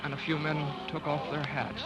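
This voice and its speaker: An actor with an American accent playing a troubled, lovelorn, guilt-ridden doctor in an old radio drama, hesitant and stammering. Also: male voice, a little high, little pitch movement, normal speed, loud music behind the voice, natural voice, poor audio. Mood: haunted narration. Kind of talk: 1940s-50s radio drama acting.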